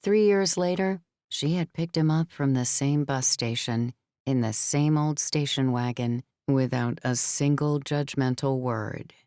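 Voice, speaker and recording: A woman's voice: extremely low pitch, a little slow, mellow, clean tone, dry recording, clean studio sound. A woman narrating scripted fiction as a storyteller in a full-cast audiobook. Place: dry recording studio.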